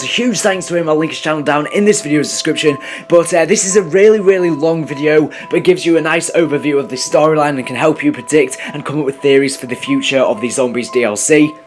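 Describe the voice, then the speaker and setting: A man hosting a gaming channel, giving a casual video introduction with repeated emphatic words. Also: fairly high pitch. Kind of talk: casual video introduction, scripted-sounding commentary. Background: background music.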